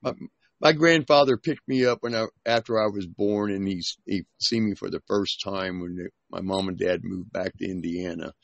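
A man's voice: deep voice, moderate pace, gravelly, no room sound, highs cut off. A man from Georgia telling plain stories in casual chat.

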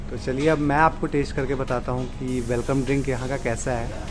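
A man's voice: mid-range voice, steady tone, fairly quick, music in the background. A young man vlogging a restaurant visit, enthusiastically telling his viewers about the food and decor. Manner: enthusiastic, addresses viewers directly. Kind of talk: casual food vlog narration. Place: indoor restaurant.

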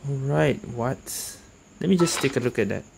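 A game streamer giving casual, unhurried commentary, muttering reactions while playing. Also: medium pitch, animated, vocal fry, a little mellow, a little hiss or hum, poor audio.

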